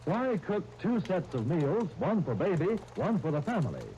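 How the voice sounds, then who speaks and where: A man's voice: high-pitched, big pitch swings, normal speed, dark tone. A man reading a scripted 1930s radio commercial as an announcer, on a dull, faintly noisy old recording.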